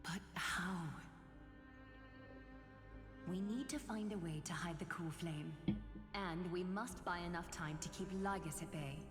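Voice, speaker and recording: A composed, defiant noblewoman of a fantasy game, voiced by a woman, speaks formally in a lowish voice. Her deliberate words carry through a reverberant hall. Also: lightly acted. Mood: concerned. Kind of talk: fantasy video game voice acting. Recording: echoey hall reverb under game music.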